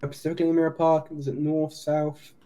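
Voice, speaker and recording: Man acting fast, mellow police detective. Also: fairly high pitch, little pitch movement, lightly acted. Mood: methodical. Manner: procedural, questioning, restarts questions. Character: polite, methodical male police detective. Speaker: roleplayer. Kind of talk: improvised online roleplay.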